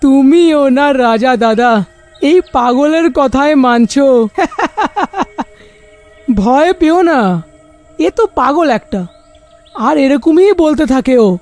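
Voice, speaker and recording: A man's voice: very high-pitched, takes it slowly, pauses often, a little mellow, soft background music, exaggerated voice. A man voicing a brash, bantering village man in a cartoon, drawling and exclamatory. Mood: mocking, boastful. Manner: drawling, exclamatory, repeats himself.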